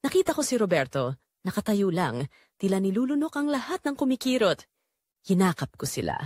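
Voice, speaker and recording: A woman's voice: medium pitch, very animated, brisk, darkish tone, dry recording, studio quality. A woman with a Filipino accent narrating a scripted first-person drama in an emotive, confessional way.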